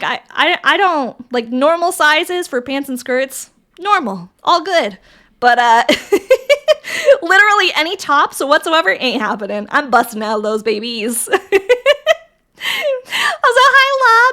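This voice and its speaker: A woman livestreamer chatting casually with viewers, reading their messages aloud, swearing freely and laughing often, her voice raspy from allergies. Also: sits high, pushed and bright.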